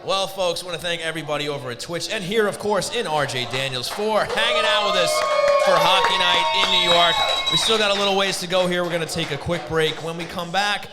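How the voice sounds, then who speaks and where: Guy hosting a sports show, highish voice, announcer style, music blasting behind him. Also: fairly quick. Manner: announcer-like, addresses the audience as folks. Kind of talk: upbeat live show hosting with sponsor reads. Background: loud music.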